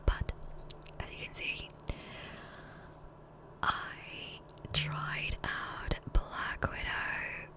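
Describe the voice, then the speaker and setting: A woman whispering softly and breathily in a casual, rambling ASMR gameplay chat, close to the microphone. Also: extremely slow, pauses often. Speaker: ASMR video host. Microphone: close USB condenser microphone.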